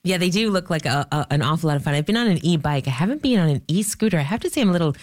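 A Canadian woman hosts a radio call-in show in a close, dry recording. Her voice is lowered by a cold, and she speaks conversationally, straight to the listeners. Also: animated, very fast, dry recording. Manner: conversational, addresses listeners directly. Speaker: radio phone-in host. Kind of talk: hosting a live call-in discussion.

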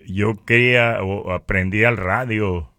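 A man from northern Mexico with a Mexican accent, giving a conversational religious testimony in a halting way, repeating words. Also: fairly low pitch, fairly even intonation, sharp tone, no room sound, studio quality.

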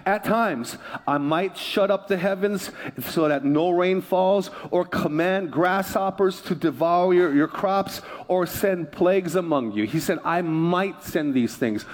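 A man from Hawaii preaching a sermon as a pastor, emphatic, repeating phrases and prompting his listeners. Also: sits high. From Hawaii, United States.

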